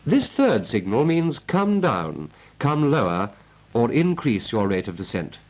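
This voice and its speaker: A British man delivers scripted instruction in the style of a 1940s military training film. His pitch is medium and moves up and down in a lively way. He sounds clipped and methodical, like an instructor, on a period film soundtrack. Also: a little slow.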